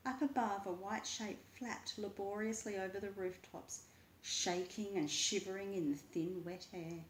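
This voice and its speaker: A woman reading a children's book aloud with expressive, deliberate, dramatic narration. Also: medium pitch, a little hiss or hum. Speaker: story reader. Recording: roomy, echoing voice.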